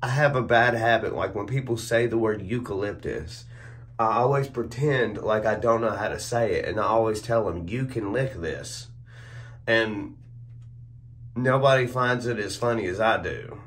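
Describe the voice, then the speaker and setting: A young man with a hoarse voice reviewing soap in a casual, laid-back way, recorded on a phone camera at arm's length in a small room at home. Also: lowish voice, normal speed, fairly bright tone. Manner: laid-back, chuckles at his own jokes. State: hoarse, losing his voice. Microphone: phone or webcam at arm's length.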